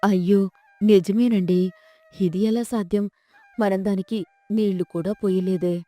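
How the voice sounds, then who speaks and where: A woman's voice: mid-range voice, steady tone, measured pace, mellow, no echo, faint music behind, lightly acted. A woman voicing a poor, dutiful village wife in a folk tale, deferential and careworn. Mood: astonished. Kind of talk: dramatised folk tale storytelling.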